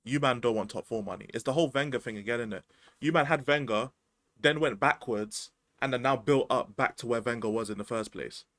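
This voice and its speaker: A man's voice: medium pitch, a little fast, no echo. Slangy young man, dry close mic. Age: 20s. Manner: slangy, rambling and animated. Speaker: football podcast host. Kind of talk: casual football chat.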